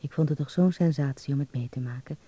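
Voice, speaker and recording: A man's voice: mid-range voice, fast talker, dark tone, breathy edge, natural voice. An actor playing a dreamy young man in a radio drama, confiding intimately in a letter home to his mother. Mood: quietly excited.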